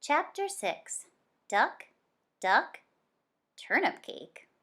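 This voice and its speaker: Very animated woman reading children's stories. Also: high-pitched, very slow. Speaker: read-aloud storyteller. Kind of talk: expressive children's book read-aloud.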